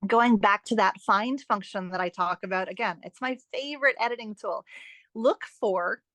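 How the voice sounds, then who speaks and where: A woman's voice: mid-range voice, moderate pace, a bit bright, dry recording. Woman explaining emphatically, close dry sound. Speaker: author and editing expert, podcast guest. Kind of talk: conversational interview, explaining writing tips.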